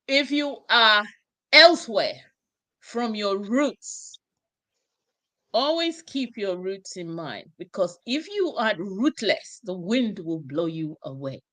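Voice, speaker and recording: A woman from Ghana hosting an online discussion show, speaking in a slow, emphatic, exhorting way. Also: fairly high pitch, sharp tone. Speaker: talk show host.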